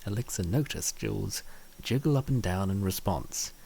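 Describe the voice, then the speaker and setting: A man's voice: on the low side, lively intonation, normal speed, creaky, mellow, husky. A man reading scripted story narration as the narrator of a comic dub.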